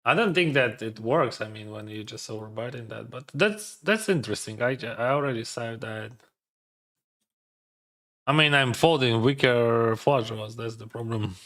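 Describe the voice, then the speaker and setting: A man's voice: medium pitch, moderate pace, fairly bright tone. A man whose first language is Russian, thinking aloud in hesitant English as he plays and streams poker. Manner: hesitant, second-guessing, filler-heavy non-native English. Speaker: poker player streaming his games. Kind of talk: thinking aloud while playing online poker.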